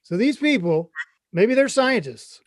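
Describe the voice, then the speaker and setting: Eager, clueless middle-aged stepdad type, played by a man, high for a male, earnest comedy read, dry recording. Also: lively intonation, measured pace, no room sound. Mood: eager pitching. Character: Craig, mom's 55-year-old boyfriend, clueless, eager, chummy. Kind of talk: comedy script table read.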